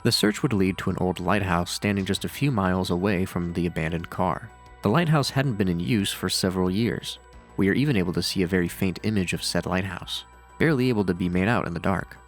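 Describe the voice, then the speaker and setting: A man's voice: sits low, fairly quick. A man narrating scripted commentary on horror with casual, dryly sardonic asides. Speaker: video narrator and reviewer. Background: faint music.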